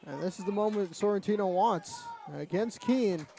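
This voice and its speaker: A man's voice: high voice, normal speed, dark tone, soft background music. A man giving live baseball commentary as part of a broadcast booth.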